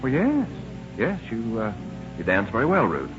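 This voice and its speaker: A man's voice: lowish voice, huge pitch swings, normal speed. An actor playing a flustered, wisecracking young man in a 1940s radio drama. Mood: flustered, evasive. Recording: dull old radio recording with music.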